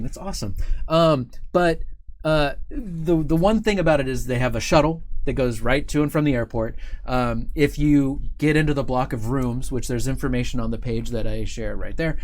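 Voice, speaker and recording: A man's voice: a little high, moderate pace, darkish tone. Casual male live-stream host.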